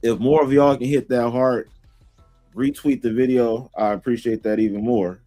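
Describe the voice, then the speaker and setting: A man's voice: medium pitch, moderate pace, warm tone, soft background music. A man giving slangy commentary, talking straight to viewers. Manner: slangy, addresses viewers directly. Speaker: show commentator. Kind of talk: commentary to camera.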